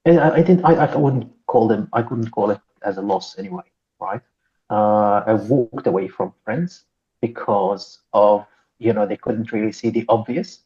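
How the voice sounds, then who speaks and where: A man talks in a low, mellow voice on a casual podcast panel. He weighs his words slowly, leaning on fillers and "right?" tags. The audio sounds slightly dull and muffled. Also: vocal fry. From Syria. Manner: slow, thoughtful, full of fillers and tag questions. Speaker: podcast co-host.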